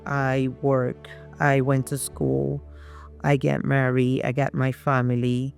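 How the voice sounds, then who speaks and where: A middle-aged woman from Puerto Rico with a Spanish accent and Spanish as her first language, telling her life story as an interview guest in a halting, determined way. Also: extremely low pitch, gravelly, darkish tone. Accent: Puerto Rican Spanish.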